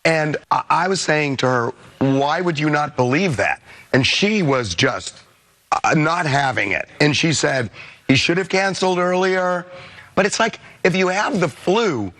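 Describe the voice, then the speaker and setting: Hoarse talk show host, opinionated man. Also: a little high, a little slow, fairly bright tone. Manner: opinionated, conversational. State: hoarse, losing his voice. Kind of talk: casual newsroom chat.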